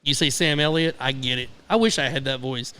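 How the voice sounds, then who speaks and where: A man's voice: medium pitch, fairly quick, sharp tone, smooth. A man with a Southern American accent, co-hosting a casual radio podcast with teasing, upbeat banter. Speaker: podcast co-host.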